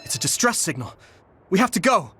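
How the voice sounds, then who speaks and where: A man's voice: sits high, big pitch swings, brisk, bright, forceful tone, faint music behind, exaggerated voice. A man playing an earnest, modest young soldier in an anime-style video game, polite and a little hesitant. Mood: earnest, urgent.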